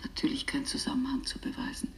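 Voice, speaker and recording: An actress in a radio drama playing a resolute, bitter underground activist woman who speaks in a breathy half-whisper. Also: fast, fairly bright tone, echoey room, natural voice.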